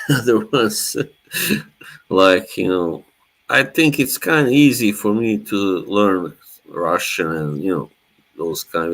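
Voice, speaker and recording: Slow, low-voiced Bosnian-born man. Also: a bit bright, rough recording. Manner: slow, laid-back, laughs often. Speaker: live stream host. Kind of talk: casual chat.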